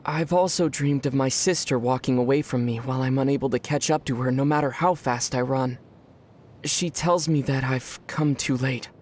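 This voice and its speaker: A young male adventurer hero played by an actor from a video game, with a medium-pitched voice. He sounds calm and thoughtful, reflective with a gently teasing way of talking. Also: normal speed, lightly acted. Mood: pensive. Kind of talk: video game character voice acting.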